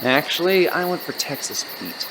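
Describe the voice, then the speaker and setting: An actor playing an easygoing, goofy musician sidekick with casual, earnest delivery in a comedic audio drama. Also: male voice, fairly high pitch, animated, moderate pace, heavy background noise, close to an everyday voice. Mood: bickering, amused.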